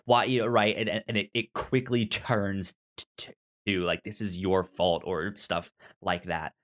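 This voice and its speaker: A man co-hosting a podcast, casually discussing a film in a halting way, often repeating and restarting his words. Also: low voice, fairly even intonation, a bit bright. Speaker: podcast co-host reviewing a film.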